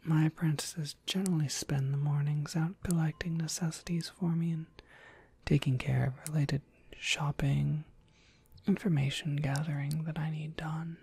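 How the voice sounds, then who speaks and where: A woman playing a friendly witch shopkeeper in an ASMR roleplay, gentle, polite and unhurried, in a breathy, husky whisper. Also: low-pitched, steady tone, exaggerated voice. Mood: warmly welcoming. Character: friendly witch shopkeeper, kindly and softly welcoming.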